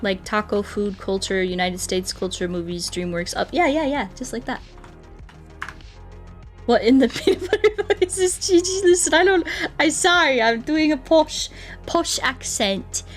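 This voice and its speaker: A woman's voice: fairly high pitch, lively intonation, normal speed. Female game streamer, rambling and playful, casual chat delivery over background music. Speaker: game livestreamer. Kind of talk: casual livestream chat while playing.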